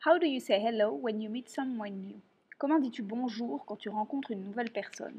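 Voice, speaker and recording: Female interviewer, husky voice, plain question reading; dull lo-fi sound. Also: fairly high pitch, fairly quick, a little mellow. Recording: dull lo-fi recording.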